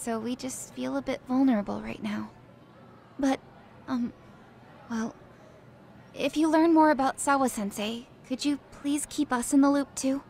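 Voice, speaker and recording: A woman's voice: high-pitched, vocal fry, darkish tone, slight background noise. A woman voicing a timid, polite teenage schoolgirl in a video game dub, speaking hesitantly. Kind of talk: English game dub dialogue.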